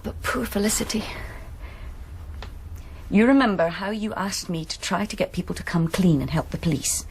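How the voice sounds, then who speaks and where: An actress playing a troubled, reluctant young student in a radio drama, confiding hesitantly in a husky English voice. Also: female voice, mid-range voice, moderate pace, slight background noise, natural voice. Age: young adult.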